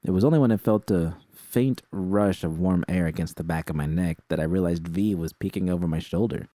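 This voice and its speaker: Low, mellow man narrating fiction. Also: fairly quick, no room sound. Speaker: audiobook narrator. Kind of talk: first-person scripted fiction narration.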